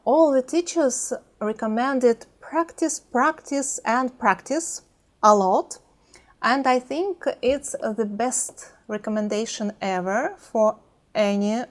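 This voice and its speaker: A woman with a Russian accent talking in a reflective personal vlog, hesitant, with many pauses and fillers. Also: mid-range voice. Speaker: language-learning vlogger.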